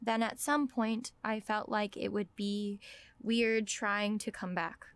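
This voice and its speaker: A woman playing an earnest, apologetic journalist with a halting manner in a naturalistic audio drama. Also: mid-range voice, steady tone. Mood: apologetic, troubled.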